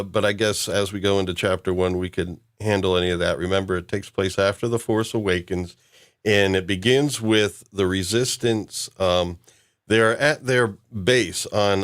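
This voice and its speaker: A man hosting a podcast, chatting casually about a fan-made audio drama, chuckling now and then as he talks. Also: lowish voice. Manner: chuckles while talking. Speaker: podcast host.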